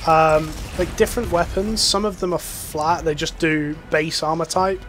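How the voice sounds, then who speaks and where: Young male gaming YouTuber, a touch high-pitched, loose and chatty. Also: fairly even intonation, normal speed, a bit bright, slight background noise. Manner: rambling, filler-heavy, enthusiastic. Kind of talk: casual gameplay commentary.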